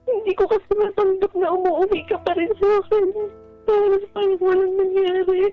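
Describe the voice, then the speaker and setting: A woman with a very high voice phones in to a radio show to share her own story. She speaks politely and haltingly, with earnest delivery. The line is dull and lo-fi, with music playing clearly underneath. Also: level tone. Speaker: caller to a radio advice show. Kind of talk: personal storytelling in a radio call. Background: background music.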